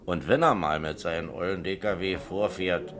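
An actor playing a chatty, blunt pub landlord in a radio crime play, talking in a colloquial, emphatic and wryly gossipy way. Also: male voice, low voice, moderate pace, crowd noise, close to an everyday voice.

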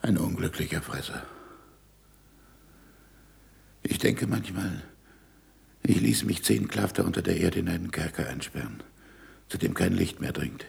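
A man's voice: low-pitched, vocal fry, full character voice. A man acting an impassioned, sarcastic middle-aged scientist in a German radio play, swinging between declamation and muttering. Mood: brooding, confessional.

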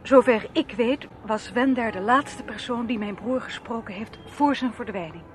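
A woman's voice: fairly high pitch, moderate pace, natural voice. An actress playing an anxious, earnest young woman in a radio play, speaking hesitantly. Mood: shaken, earnest. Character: Linda West, anxious young woman seeking her brother. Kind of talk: Dutch radio play acting. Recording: noisy old radio play recording.

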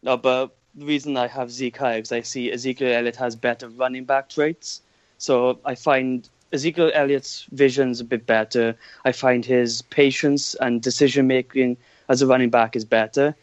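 A man with a Welsh accent, a guest scout on a sports podcast, giving his measured views with hesitant restarts. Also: mid-range voice, steady tone, no room sound. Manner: measured, hesitant, starts over. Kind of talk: casual podcast chat. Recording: dry, dull lo-fi sound.

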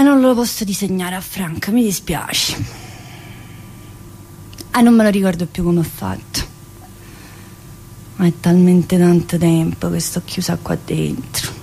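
An actress playing an earthy, wisecracking woman in prison, chatty and crude and swinging from loud outbursts to low muttering. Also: gravelly, slight background noise, low-quality recording. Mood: wistful. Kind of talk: stage monologue.

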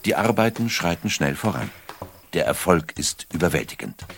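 A man narrating a scripted documentary story in a measured way, with dramatic emphasis. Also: low voice, very animated, gravelly, noisy background. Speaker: audiobook narrator.

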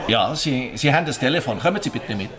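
An actor playing a polite, businesslike waiter in a German radio crime drama. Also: male voice, mid-range voice, lively intonation, fast talker, a crowd in the background, natural voice. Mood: courteous.

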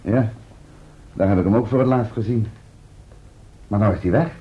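An actor playing a level-headed, sceptical professor's companion in a radio drama, speaking politely and reasonably. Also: male voice, on the low side, normal speed, husky. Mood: dry, sceptical. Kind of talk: Dutch radio drama acting. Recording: muffled old radio recording.

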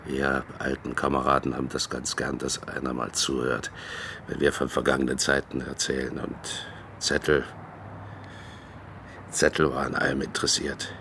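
An old East German dog handler with an extremely deep, forceful voice. He is gruff and wryly nostalgic, letting halting, terse phrases fall without hurry. It is radio drama dialogue with some noise behind it. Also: flat delivery, exaggerated voice. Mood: resigned. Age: older.

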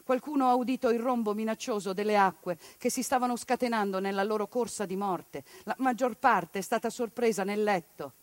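A woman in a theatrical monologue who delivers a grave, measured report and reads the punctuation aloud. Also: mid-range voice, no room sound. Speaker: stage performer. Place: radio studio or stage.

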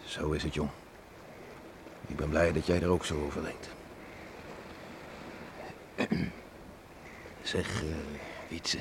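Radio play: older farmer father, low voice, reflective, rustic, concerned; noisy vintage recording. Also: little pitch movement, slow, pauses often, heavily acted. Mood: quiet, satisfied. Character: ageing island farmer father, plain-spoken, reflective, concerned. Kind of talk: Dutch radio drama acting.

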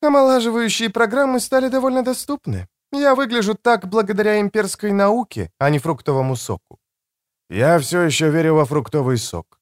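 An elegant mature woman as a male narrator puts her on speaks in a lifted, high register with a tender, frank manner. She teases gently and cares openly, with soft expressiveness. It is audiobook character dialogue, recorded very dry. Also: fairly quick, dry recording, natural voice. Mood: gently teasing.

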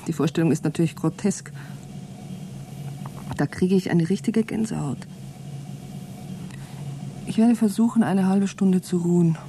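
A woman's voice: on the low side, unhurried, pauses often, noisy background. An actress playing an anxious but defiant woman trapped aboard with her partner in a radio play. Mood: uneasy, weary. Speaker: radio drama actor. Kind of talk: German radio play acting, spoken logbook monologue.

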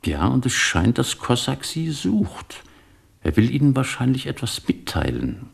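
An actor in a German radio play, playing a talkative, sentimental immigrant shopkeeper who tells things with insistent emphasis and a gravelly voice. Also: male voice, mid-range voice, huge pitch swings, exaggerated voice. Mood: earnest, urging. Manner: effusive, insistent storytelling with emphatic pauses.

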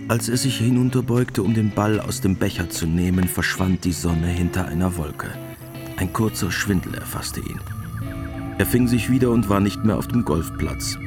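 A man's voice: sits low, normal speed. A man narrating a scripted radio drama as its storyteller. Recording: music behind.

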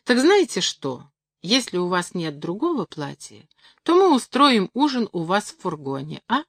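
A woman voicing an earnest, awkward gentleman admirer in an audiobook, courteous and somewhat solemn. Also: mid-range voice, sing-song, moderate pace, no echo, clean studio sound. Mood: courteous, eager.

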